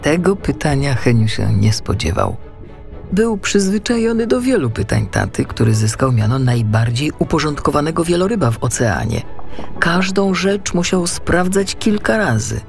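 A man narrating a children's storybook in an expressive, unhurried storytelling manner. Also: on the high side, gravelly, faint music behind. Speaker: audiobook narrator.

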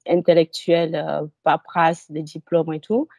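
A woman's voice: low-pitched, level tone, unhurried, vocal fry, darkish tone, dry recording. A woman in her thirties, a hypnosis client on a video call, telling her personal story hesitantly with many fillers.

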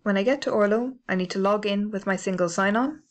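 A woman with a British accent narrating a library software tutorial, clear and methodical, spelling out codes letter by letter. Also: mid-range voice, fast talker. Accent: British English. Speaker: library staff trainer. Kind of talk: instructional screencast narration.

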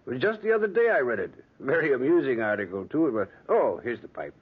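Swooping, husky, nosy neighbour gentleman. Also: mid-range voice, sing-song, quick pace. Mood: genial, apologetic. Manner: genial, rambling, pointedly curious. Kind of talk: old-time radio drama acting. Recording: tinny, band-limited old radio recording.

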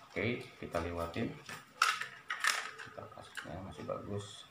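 Slow, low-voiced man, echoey room. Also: expressive. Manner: halting, repeats short phrases with frequent "ya" tags. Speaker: vlogger showing a cassette collection. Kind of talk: casual vlog chat, showing items. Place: small room at home, indoors. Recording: echoey room, clear background noise.

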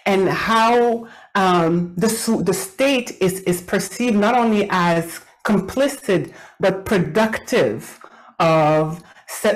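A woman's voice: fairly low pitch, rough recording. A middle-aged woman from Quebec whose first language is French, giving academic commentary on a book over a video call from a home office, thinking aloud with many fillers and restarts. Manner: thoughtful, with frequent fillers and restarts. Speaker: academic discussant. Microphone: video call webcam.

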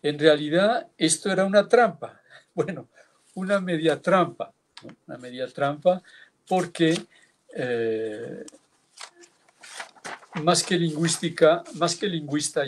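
A man in his seventies from France, giving a measured, reflective tribute speech over a video call, with small hesitations. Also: a little high, slight background noise. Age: 70s. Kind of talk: formal reminiscence, partly read.

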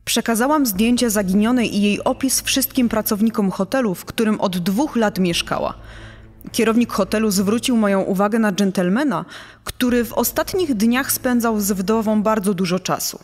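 An actress playing a businesslike police investigator, giving measured, matter-of-fact testimony in a true-crime dramatisation. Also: female voice, medium pitch, natural voice. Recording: long hall reverb over music.

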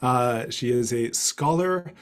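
A man's voice: medium pitch, takes it slowly. Courteous male moderator, mid-range voice. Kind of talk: formal event moderation. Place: small office. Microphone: headset on video call.

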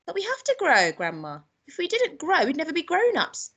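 A woman's voice: very high-pitched, huge pitch swings, a little fast, a bit bright, voice pushed up, heavily acted. A woman voicing a polite, squeamish eight-year-old boy in a storybook, plaintive and protesting. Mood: pleading, timid.

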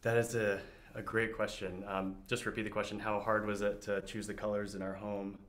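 A man's voice: fairly low pitch, level tone, brisk, a bit bright. A man in his thirties, a guest homeowner, speaking agreeably in a historic parlor. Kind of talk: casual chat. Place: historic house parlor, indoors.

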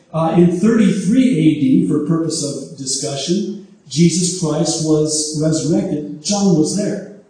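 A man whose first language is Arabic preaches emphatically and climbs to high exclamations. His voice sits high yet sounds mellow. He is heard from a distance in a big church hall with heavy echo. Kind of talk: prophecy sermon. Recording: echoey hall from far off.

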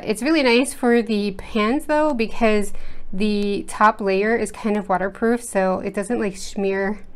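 An American woman hosting an art video, chatting casually and rambling with lots of fillers and restarts while she works at her desk. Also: mid-range voice. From United States. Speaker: art channel host. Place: indoors at a home desk. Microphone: overhead camera setup, speaker off-screen.

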